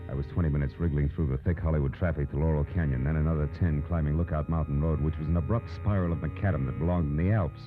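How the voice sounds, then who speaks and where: A tough radio private eye with a deep voice and a quick, clipped delivery. He is sardonic and wisecracking, on a dull vintage recording with faint music. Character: hard-boiled, wisecracking male private detective. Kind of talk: 1940s-50s radio detective acting.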